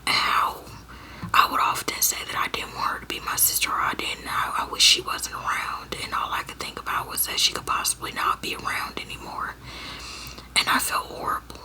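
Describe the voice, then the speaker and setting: Hushed whispering woman telling a story. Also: low-quality recording. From Georgia, USA. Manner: hushed whisper, halting with fillers and restarts. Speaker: storyteller vlogger.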